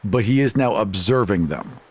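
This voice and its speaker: Animated lowish-voiced man narrating roleplay. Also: moderate pace, breathy edge. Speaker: tabletop game player.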